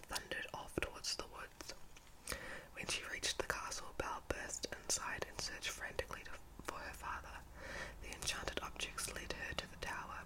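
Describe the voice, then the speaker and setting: A woman reads a children's storybook in a breathy ASMR whisper, halting now and then to correct herself. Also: low-quality recording. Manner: halting reading with slips and self-corrections.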